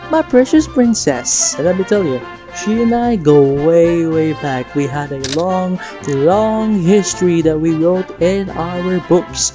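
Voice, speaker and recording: A man hosting a gaming reaction video, mixing scripted commentary with casual chat in a theatrical, playful fan manner. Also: high-pitched. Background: music behind the voice.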